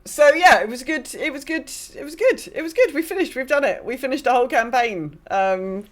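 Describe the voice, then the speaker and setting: A woman's voice: high-pitched, animated, fairly quick. A woman hosting a podcast in casual, chatty conversation, with a giggly, conversational manner. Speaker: podcast host and game master.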